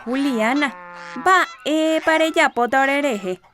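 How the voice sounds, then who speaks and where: A woman's voice: high-pitched, slow, fairly bright tone. An actress playing a chatty, plain-spoken village neighbour woman in a radio soap opera. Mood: questioning. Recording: faint farmyard noise, clucking hens.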